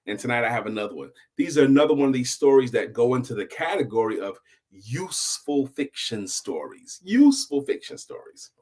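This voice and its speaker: A middle-aged man telling a story as host, in a husky voice, emphatic and deliberate, with dramatic pauses and hushed drops. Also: medium pitch, big pitch swings.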